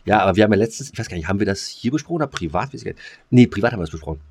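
A man's voice: fairly low pitch, lively intonation, racing, a little mellow, breathy edge. A man, chatting casually as a podcast co-host in a slangy, crude, rambling way.

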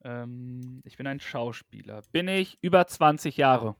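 A man's voice: medium pitch, lively intonation, unhurried, vocal fry, dry recording, studio quality. A man co-hosting a podcast, playing a casual guessing game, teasing and laughing often. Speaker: podcast co-host.

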